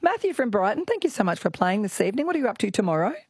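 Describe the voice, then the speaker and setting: A croaky, husky Australian woman in her forties hosting an evening radio quiz with callers, bubbly and teasing. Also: medium pitch, sing-song, rapid-fire, darkish tone, no echo, clean studio sound. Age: 40s. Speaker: radio host. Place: radio studio.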